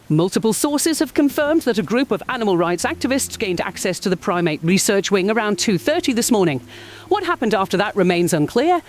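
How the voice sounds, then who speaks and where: A woman's voice: mid-range voice, close to an everyday voice. A woman playing a field news reporter, formal, precise and controlled in a scripted live report. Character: serious female British field news reporter.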